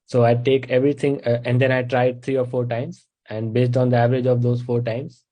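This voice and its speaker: Mellow-voiced young Indian man explaining. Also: medium pitch, a little fast. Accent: Indian English. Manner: explains in steady steps, with frequent uh fillers. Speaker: stand-up comedian, interview guest. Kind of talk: casual interview chat.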